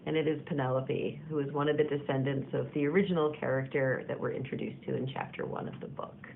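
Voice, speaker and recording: A woman author posing quiz questions to the listener in a relaxed, conversational way. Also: extremely low pitch, fast talker. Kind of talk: casual video message. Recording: gravelly phone-like audio with faint hiss.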